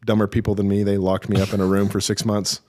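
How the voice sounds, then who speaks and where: A man's voice: fairly low pitch, completely flat delivery, quick pace, darkish tone. A middle-aged military veteran, a man, telling his career story in an interview with dry, self-deprecating humor and many hesitations.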